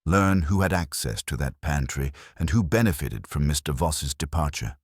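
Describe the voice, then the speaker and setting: Man acting master detective, bottomless low voice, imperious, formal, terse, audiobook mystery. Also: expressive, moderate pace, slightly rough, no room sound, clean studio sound, heavily acted. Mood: commanding. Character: commanding, cerebral male master detective. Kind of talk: audiobook character voice.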